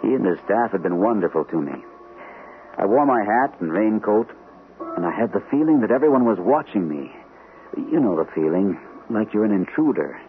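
An actor playing an anxious, shell-shocked army captain from the First World War in a radio drama, speaking hesitantly. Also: male voice, on the low side, expressive, soft background music, close to an everyday voice. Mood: uneasy. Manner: hesitant, halting delivery. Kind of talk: old-time radio drama acting with first-person narration. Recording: muffled, tinny old radio broadcast, faint hiss.

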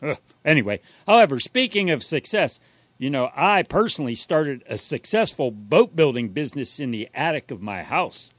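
A man co-hosts a comic home repair radio show. His slightly high voice moves with very animated intonation as he teases and wisecracks. The sound is close and dry. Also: moderate pace, no echo. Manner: wisecracking, teasing.

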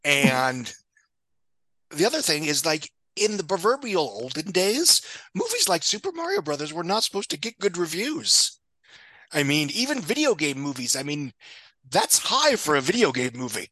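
A man's voice: a little high, big pitch swings, moderate pace, pushed and bright, no room sound, rough recording. A man talking as a guest pundit in an opinionated podcast discussion, blunt and sardonic, with casual swearing and frequent digressions. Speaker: guest film box office pundit.

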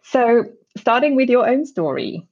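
A woman hosting a podcast interview, reading a guest introduction and asking careful, measured questions. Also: fairly high pitch, sing-song, a little mellow, husky. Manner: measured, careful phrasing with some restarts. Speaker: podcast host. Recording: dull, lo-fi sound.

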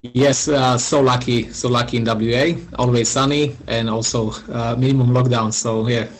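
A man from Slovakia with a Slovak accent, answering interview questions in a halting way with many pauses and restarts. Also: mid-range voice, steady tone, poor audio. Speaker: podcast guest, founder.

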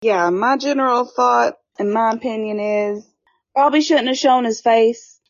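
A woman's voice: a little high, unhurried, no room sound. A woman in her twenties co-hosting a casual podcast, speaking bluntly and swearing freely. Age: 20s. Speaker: podcast co-host. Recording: dull lo-fi sound.

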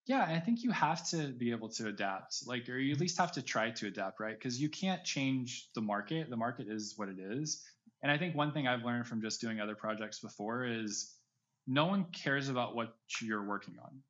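Male interview guest, informal and conversational, mid-pitched voice, slightly lo-fi recording. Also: lively intonation, normal speed, a bit bright. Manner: informal, heavy use of "like" and "right". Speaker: guest, NFT project creator. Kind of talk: casual interview talk.

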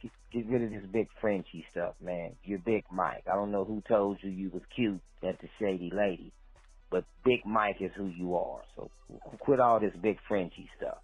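A man's voice: deep voice, moderate pace, husky, no room sound. A man giving his casual opinions as a sports fan in a voicemail, repeating phrases for emphasis. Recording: muffled voicemail, music behind.

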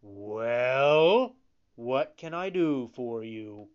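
A narrator voicing an imposing bearded puppet showman, stern but capable of pity, speaking slowly and emphatically. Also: medium pitch, gravelly, muffled recording. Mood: softening. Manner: slow, emphatic, commanding.

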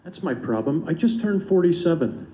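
High, lively stand-up comic in his fifties. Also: moderate pace, slight background noise. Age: 50s. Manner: rhetorical questions and deadpan punchlines. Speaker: stand-up comedian. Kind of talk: stand-up comedy routine. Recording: roomy, dull live recording.

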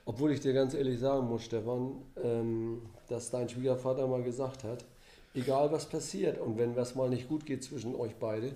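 A man's voice: medium pitch, fairly even intonation, normal speed, warm tone, echoey room. An older grandfather talking as a podcast guest, hesitant and thinking aloud with many fillers. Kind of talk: casual conversation.

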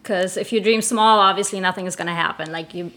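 A woman's voice: fairly low pitch, quick pace, sharp tone. A woman in her thirties from Russia, a podcast guest chatting casually and laughing often. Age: 30s. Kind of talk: casual interview chat.

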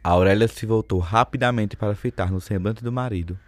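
A man's voice: on the low side, a little fast. A man giving scripted literary narration for an audio drama.

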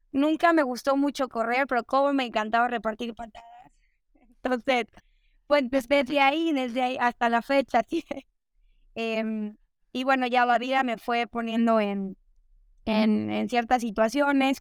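A woman's voice: fairly high pitch, smooth, dry recording, studio quality. A young adult woman co-hosting a podcast, telling stories in a cheerful, chatty way. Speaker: podcast co-host. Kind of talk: casual chat.

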